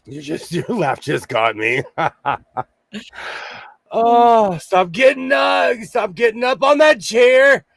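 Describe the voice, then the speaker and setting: A man in his forties hosting a casual sewing livestream, hoarse and losing his voice, joking and laughing often as he reads the chat. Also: high-pitched, animated, slow, fairly bright tone, no room sound.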